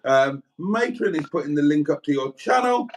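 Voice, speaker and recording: A man's voice: on the high side, lively intonation, moderate pace, a little hiss or hum. A Welsh man from South Wales co-hosting a livestream, reading viewer comments aloud with playful, dry banter. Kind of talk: casual banter.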